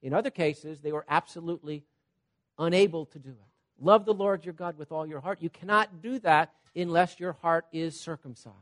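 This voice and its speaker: A man with a high voice delivers a sermon in an animated way. He swings from loud, emphatic points to soft, quiet asides and often checks in with his listeners. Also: normal speed. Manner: repeats "Okay?" to check on listeners. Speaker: preacher.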